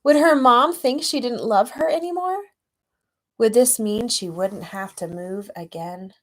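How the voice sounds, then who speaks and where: A woman reading a children's storybook aloud expressively and gently, with chatty asides to young listeners. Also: a little high, unhurried. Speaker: children's author reading own book aloud.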